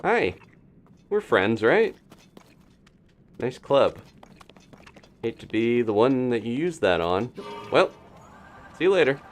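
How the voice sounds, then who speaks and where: A man's voice: mid-range voice, big pitch swings, slow pace, pauses often, soft background music. A man doing casual live commentary as he plays a video game, thinking aloud with playful reactions. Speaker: gaming live streamer.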